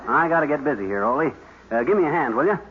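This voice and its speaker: A man's voice: fairly high pitch, rapid-fire, natural voice. Guy doing a bumbling braggy sitcom husband, voice jumps all over, heavy hiss on an old muffled radio track. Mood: blustery. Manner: blustery, excitable, bumbling. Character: boastful, blustering middle-aged husband. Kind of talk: 1950s radio sitcom acting. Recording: dull old radio broadcast with loud hiss.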